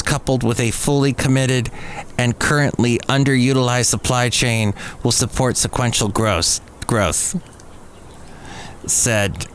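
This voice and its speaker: A man's voice: medium pitch, fairly bright tone, a little hiss or hum. A man hosting a podcast in a casual, chatty solo style. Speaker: podcast host.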